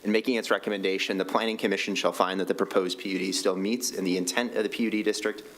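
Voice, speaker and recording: A man with a very deep voice presents a staff report to a city commission in a meeting chamber. He talks quickly and explains the procedure point by point, with fillers between his sentences. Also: steady tone. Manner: fast, explanatory, with uhs and ums.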